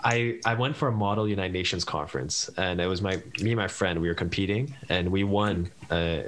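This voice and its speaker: A young man from Canada in casual podcast chat, an animated storyteller who acts out quoted voices and laughs often. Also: low-pitched, brisk, slight background noise. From Canada, Montreal.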